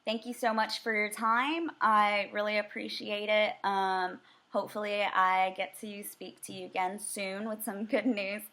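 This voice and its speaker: A woman's voice: medium pitch, flat delivery, measured pace, sharp tone. A young American woman, raised speaking Vietnamese first, giving earnest, eager answers in a mock job interview with frequent filler words. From United States. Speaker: job candidate.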